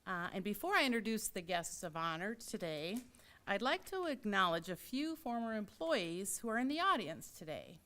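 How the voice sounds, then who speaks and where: A woman's voice: medium pitch, big pitch swings, moderate pace, pushed and bright. A woman, a library director, welcoming guests and announcing names at a dedication ceremony. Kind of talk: ceremony speech.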